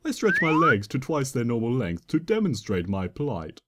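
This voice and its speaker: Guy narrating a fanfic all theatrical n playful, pitch all over the place, long reverb. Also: huge pitch swings, normal speed, noisy background. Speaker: fan fiction reader and narrator. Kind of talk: dramatic first-person storytelling in character as a playful trickster. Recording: reverberant room with long echo.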